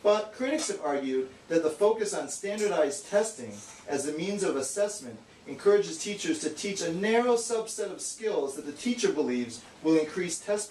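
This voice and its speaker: A man's voice: a little high, a little mellow. A man giving an earnest, matter-of-fact scripted educational explanation as the straight man of a puppet show. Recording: echoey, distant, faint noise.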